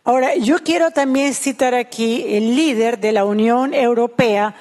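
Female interpreter, fairly high voice, rendering a sermon phrase by phrase. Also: a little slow, darkish tone.

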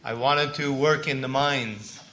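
A man's voice: mid-range voice, pushed and bright, slight background noise. A man in his sixties from Canada, telling his life testimony in short phrases so an interpreter can follow. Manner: plain, unhurried storytelling in short phrases. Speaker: guest speaker giving testimony. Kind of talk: testimony sermon with line-by-line interpreting.

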